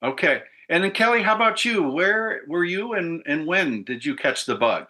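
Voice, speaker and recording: Male moderator, fairly high bright voice, chatty rambling questions. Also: animated, moderate pace. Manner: rambling, upbeat questions with frequent restarts. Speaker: podcast host and panel moderator. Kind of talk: moderating a panel discussion.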